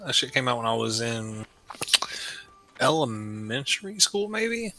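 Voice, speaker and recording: Crude-talking bright man in his thirties. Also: medium pitch, very animated, measured pace, faint music behind, poor audio. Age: 30s. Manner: crude, loose slang, swears often. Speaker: friend on voice chat during a stream. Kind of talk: casual chat while gaming.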